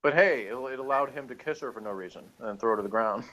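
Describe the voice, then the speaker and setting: A man's voice: medium pitch, fast, a bit bright, a little hiss or hum, low-quality recording. A man chatting casually and offhandedly as a co-host of a review show, in a dry manner. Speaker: podcast co-host reviewing a TV episode. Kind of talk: casual chat.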